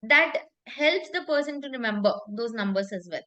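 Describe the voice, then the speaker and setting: A woman's voice: on the high side, animated, moderate pace, bright, forceful tone. A woman works as a public speaking coach. She explains in an emphatic, conversational way, repeating phrases for weight and checking in with short tag questions.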